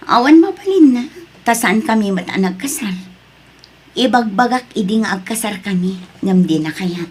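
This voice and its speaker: A woman playing an earnest working mother in an Ilocano drama, with a husky voice and Ilocano accent. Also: mid-range voice, animated, normal speed, darkish tone, natural voice. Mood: friendly, earnest. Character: Maria, earnest working single mother with a new boyfriend. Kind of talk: Ilocano radio-style drama acting.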